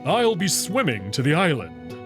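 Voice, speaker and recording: An eager, casual male wolf, as voiced by a man in a visual novel. His pitch moves around a lot and his manner is breezy and a bit impatient, with music playing behind him. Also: wildly swooping pitch, normal speed. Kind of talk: visual novel character voicing.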